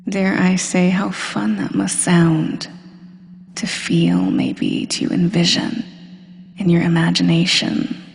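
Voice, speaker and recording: A woman performing an intimate, commanding hypnosis roleplay monologue, teasing and deliberate, often dipping toward a breathy near-whisper. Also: lowish voice, little pitch movement. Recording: long reverberant hall-like echo.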